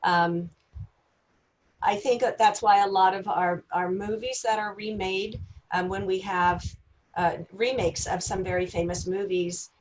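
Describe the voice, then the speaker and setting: A woman answering as an actress on a panel, unscripted, in a reflective and halting way. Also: mid-range voice, steady tone, rough recording.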